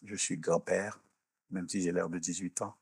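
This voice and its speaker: A mature man speaks in a low voice with a rough, husky grain, recorded close and dry. He answers like a minister being interviewed, explaining deliberately. He often pauses to search for the next word. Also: little pitch movement, dry recording. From born in Haiti, grew up in Canada. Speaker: minister and executive coach, interview guest. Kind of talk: measured interview talk with preaching explanation.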